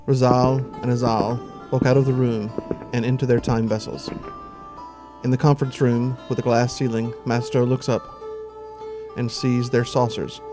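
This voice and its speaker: A man giving plain, steady scripted narration for an audio drama. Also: medium pitch, normal speed. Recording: music bed behind.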